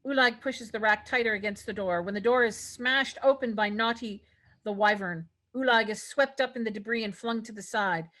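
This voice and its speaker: Woman narrating a script read, crisp stage directions, really bright forceful voice. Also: fairly high pitch, moderate pace.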